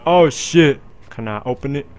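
A man's voice: medium pitch, animated, moderate pace, darkish tone, a little hiss or hum. A man doing casual let's play commentary in an informal, profane, slangy way. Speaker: gaming video host.

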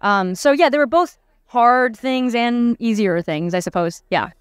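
A young woman in her twenties talks into an interviewer's handheld mic at a premiere. Her voice is smooth and clear, the sound dry and close. She muses through her answers with fillers and restarts. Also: on the high side, measured pace, no echo. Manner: thoughtful, many fillers and restarts. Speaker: actor interviewed on a red carpet. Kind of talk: casual red-carpet interview. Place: busy premiere red carpet, indoors. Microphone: handheld mic held by interviewer.

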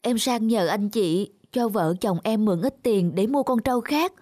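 A woman playing an earnest, stubborn young village wife in an animated moral tale. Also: medium pitch, moderate pace, lightly acted. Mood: pleading. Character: indignant, stubborn young married village woman, a sister-in-law.

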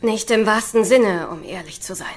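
A woman's voice: mid-range voice, normal speed, natural voice. An actress in an audio drama playing a wary female spaceship crew member.

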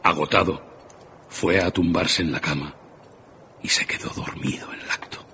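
A man's voice: low-pitched, steady tone, normal speed. A man narrating a scripted dramatic story as the narrator of a radio drama. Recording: muffled, loud background ambience and noise.

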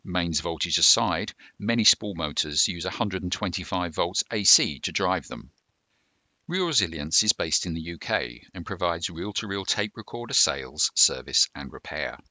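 A man presenting a scripted instructional narration in a measured, formal, explanatory manner. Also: low voice, expressive, bright, forceful tone, no room sound.

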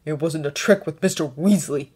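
A woman's voice: sits low, normal speed, husky. Woman doing a defiant, impatient teenage wizard boy. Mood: angry. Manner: impatient, shouting, defensive.

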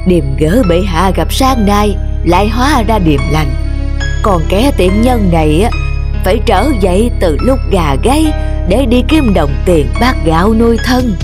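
A woman voicing a humble old peasant man in an animated folk tale, pleading and sighing. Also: mid-range voice, a little slow, close to an everyday voice. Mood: weary, resigned. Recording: music under the voice.

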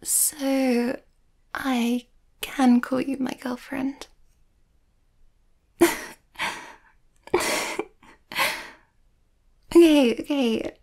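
A woman acts out a shy, overthinking young werewolf girlfriend in an ASMR roleplay. Her voice is high, mellow and breathy, often close to a whisper. She speaks slowly and hesitantly, sweet and bashful. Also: steady tone, full character voice. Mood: bashful, tender.

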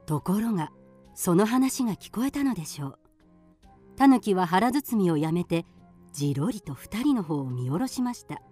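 A woman's voice: on the low side, very animated, moderate pace, dark tone, husky, faint music behind. A woman reading scripted storybook narration for a radio drama.

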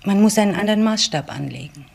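An actress playing a loyal, earnest woman with a measured, firm delivery in a crime radio play. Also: medium pitch, expressive, creaky. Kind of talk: radio crime drama acting.

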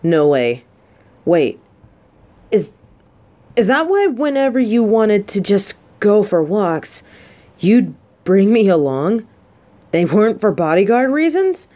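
A woman playing a female military bodyguard in a romantic audio roleplay, gruff but shy and secretly lovestruck, speaking softly and haltingly. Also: mid-range voice, big pitch swings. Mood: hesitant disbelief. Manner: halting, flustered, soft-spoken.

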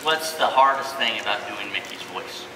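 A man's voice: lowish voice, moderate pace. A man moderating a live panel interview on stage in a large theatre. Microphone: distant audience camera. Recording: echoey, noisy auditorium recorded from far off.

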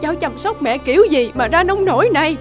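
High, reproachful village neighbour woman. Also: lively intonation, quick pace. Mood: shocked, scolding. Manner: emotional, reproachful. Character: scolding, kind-hearted village woman, the neighbour. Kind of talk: children's folk-tale cartoon dubbing. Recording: music behind the voice.

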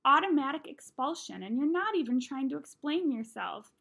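A stern but kindly school principal voiced by a woman reader in a storybook. He reasons in a measured, forgiving way, in a fairly high voice. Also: sing-song, vocal fry, sharp tone, full character voice. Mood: patient, kindly.

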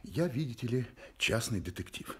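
An actor playing a polite, insistent private detective in a radio play, pleading courteously in halting phrases. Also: male voice, medium pitch, animated, a little mellow, a little hiss or hum, natural voice. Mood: courteous, urgent. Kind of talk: Soviet-style radio play acting.

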